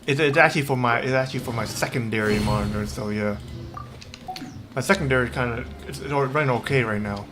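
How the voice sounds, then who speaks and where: Casting: male livestreamer, casual gameplay chatter, average pitch, halting and repetitive, clear music underneath. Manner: halting, repetitive, rambling delivery. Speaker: game livestreamer. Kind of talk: casual livestream chat while playing. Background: game music.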